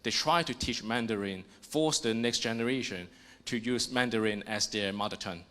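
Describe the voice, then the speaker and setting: A man's voice: lowish voice, moderate pace, a bit bright. A young man from Hong Kong, a Cantonese speaker with a Hong Kong accent, speaking earnestly on a panel, with many "uh" fillers and restarts. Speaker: panelist, exiled activist. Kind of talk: panel discussion remarks.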